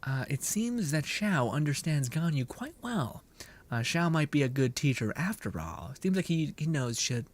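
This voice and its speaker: A man's voice: a little high, very animated, moderate pace. A man streaming a video game, rambling playfully, swearing and laughing often as he comments. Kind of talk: casual livestream commentary.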